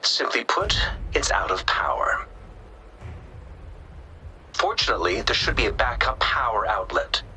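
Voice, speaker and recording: Sharp, bright-voiced technical specialist. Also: male voice, medium pitch, sing-song, unhurried, pauses often, in a whisper, full character voice, rough recording. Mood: dry, businesslike. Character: matter-of-fact male technical specialist reporting to a general. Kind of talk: video game cutscene acting. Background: background music.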